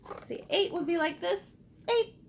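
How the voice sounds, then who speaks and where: Female children's read-aloud teacher: high, creaky voice, unhurried pace, encouraging and expressive delivery. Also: slight background noise. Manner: encouraging, expressive, addresses young children with simple questions. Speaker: teacher reading a picture book aloud. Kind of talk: interactive children's read-aloud with call-and-response prompts.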